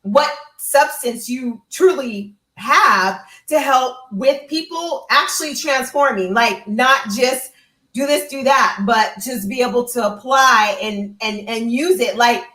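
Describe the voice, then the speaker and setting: Woman, fairly high voice, heartfelt casual testimony, effusive, roomy echo. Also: takes it slowly, pushed and bright. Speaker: guest giving a testimonial. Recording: roomy, echoey sound.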